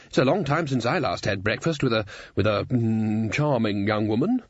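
A man's voice: fairly low pitch, expressive, moderate pace, no room sound, muffled recording. A man voicing a jovial older wizard who runs a university, bluff and courteous, in a storybook reading. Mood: courtly, hearty. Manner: bluff, conversational, courteous with hesitations.

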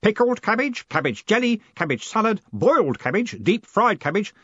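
A man's voice: high voice, very animated, normal speed, fairly bright tone, breathy edge, no room sound, highs cut off, pitched above the performer's own voice, exaggerated voice. A man voicing an eager, naive young clerk in an audiobook, earnest, deferential and a bit pedantic. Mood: enthusiastic. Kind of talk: audiobook character voice.

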